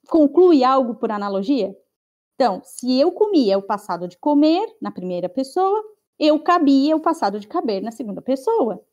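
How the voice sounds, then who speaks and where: A woman's voice: sits high, a little mellow. A woman with a Brazilian Portuguese accent teaching an online class, explaining patiently and checking in with her students often.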